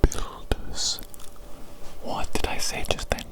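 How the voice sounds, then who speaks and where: A performer voicing an evil doctor in a whispered ASMR medical roleplay, with breathy, formal, repetitive and deliberate phrasing. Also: natural voice. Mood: patient, instructing. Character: evil doctor, doting on creature patient, gleefully vengeful.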